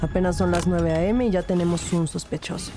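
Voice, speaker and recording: Actress doing calm lady cop narrator in a crime audio drama, lowish voice, loud music under it. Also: a little fast, natural voice. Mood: narrating, measured. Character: police detective woman narrating her team's case, calm.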